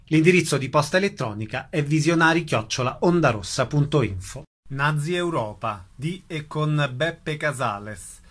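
A man's voice: on the high side, expressive, normal speed, a bit bright. A man reading a scripted announcement as a radio presenter.

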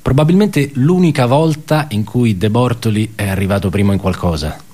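An actor playing a wry, bitter male office worker in a theatrical radio monologue, halting and ironic as he tells his story. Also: mid-range voice, mellow, natural voice. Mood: ironic, reminiscing. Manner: halting, self-correcting, conversational, ironic.

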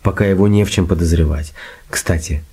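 An actor playing an eager young amateur sleuth in a radio play, speaking in a lively, informal manner. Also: male voice, low-pitched, fairly quick, breathy edge, heavily acted. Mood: eager, curious. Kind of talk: radio drama acting with narration. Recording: radio play with loud music behind.

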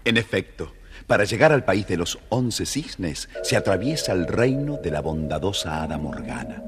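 A man narrating a scripted children's story in a measured, dramatic storyteller's manner. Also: sits low, very animated, background noise.

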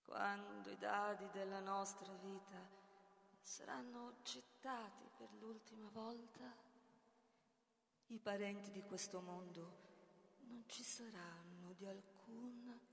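A solemn female voice of doom in a stage tragedy. She recites extremely slowly with a creaky edge, her halting phrases hanging in long reverb. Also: medium pitch, steady tone, a bit bright. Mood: solemn, ominous. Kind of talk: theatrical poetic recitation.

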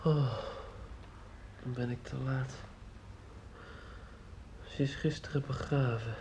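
Casting: male actor for a caring, sometimes gruff man in a radio play, mid-pitched, clipped plain phrases, slightly noisy recording. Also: fairly even intonation, takes it slowly, a little mellow, lightly acted. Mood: agitated, gruff. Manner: short, plain, repeated phrases. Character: caring man, gruff when hurried, soothing a drunk old man. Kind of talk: radio drama acting.

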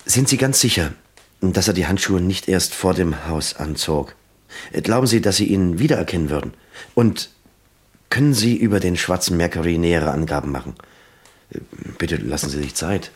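A man acting an earnest, world-weary police lieutenant in a German crime radio play, deliberate and probing. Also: low voice, animated, slightly rough, heavily acted. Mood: businesslike, probing.

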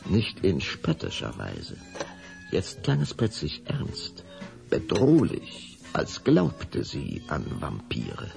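A deep-voiced man narrates a children's audio play. He speaks slowly and swings widely in pitch, building suspense with dramatic pauses. Faint music sits behind him. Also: vocal fry, darkish tone.

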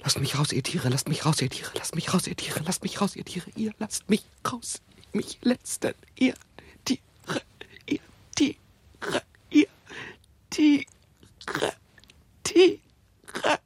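An actress playing a desperate woman locked in and begging for release in a 1960s German radio play, breathy and hoarse. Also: mid-range voice, sing-song, slow pace, pauses often, whispered, full character voice. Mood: frantic, crying.

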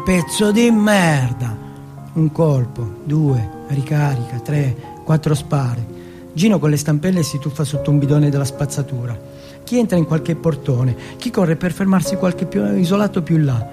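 A man from Turin performing a first-person dramatic monologue in short, blunt, colloquial sentences with a streetwise manner. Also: a little high. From Turin, Italy.

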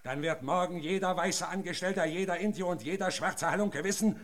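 A man acts a greedy, aging foreman in a radio drama. His voice sits fairly high, and he speaks in a sly, mocking, insistent way with rough words. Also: fairly quick, close to an everyday voice. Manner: taunting, insistent, rough-spoken. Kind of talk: German radio drama acting.

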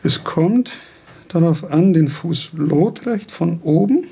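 An actor playing a frail, eccentric old philosopher in a radio drama, halting and pedantic, with a husky, creaky voice. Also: male voice, fairly high pitch, expressive, mellow, exaggerated voice. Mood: pedantic, earnest. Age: older. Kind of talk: German radio drama acting.

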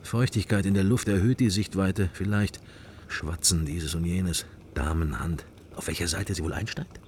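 A man's voice: deep voice, moderate pace, a little mellow, a little hiss or hum, lightly acted. A man playing a pensive middle-aged husband in a radio drama, wry and furtive, musing in clipped fragments. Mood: furtive, curious. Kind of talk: radio drama inner monologue and dialogue.

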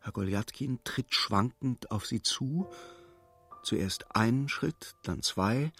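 An actor as the narrator of a literary radio play, telling the story precisely and with dramatic suspense. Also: male voice, mid-range voice, lively intonation, slow pace, fairly bright tone. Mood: measured, observing. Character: male storybook narrator, vivid and urgent observer. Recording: studio radio play with music.

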